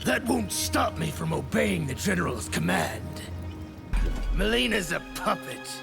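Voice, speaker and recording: Game villain: loyal zealot soldier, hostile and accusing, high-pitched, heavily gravelled voice. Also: male voice, animated, measured pace, music in the background, heavily acted. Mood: hostile, defiant. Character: hostile, fanatically loyal male army officer. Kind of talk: video game fighter dialogue.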